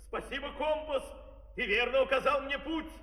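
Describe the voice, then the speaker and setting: An actor playing an earnest, determined professor on a quest, in the theatrical manner of a fairy-tale opera. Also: male voice, very high-pitched, normal speed, sharp tone. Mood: resolute, excited. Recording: distant, echoey hall, old vinyl record.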